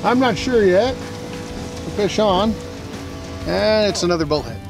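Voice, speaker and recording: A man's voice: high-pitched, animated, slow pace, gravelly. A middle-aged man, a fishing guide, explaining lures and trolling in a matter-of-fact way from a small boat outdoors on a lake, on a lapel mic. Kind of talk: on-the-water fishing show explanation. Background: background music.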